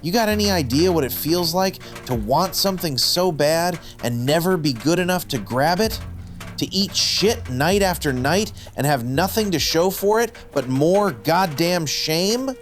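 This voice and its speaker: An actor playing a middle-aged, washed-up wrestler, bitter, defensive and self-loathing, in a noir radio drama. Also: male voice, a little high, animated, unhurried, faint music behind. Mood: bitter, ashamed.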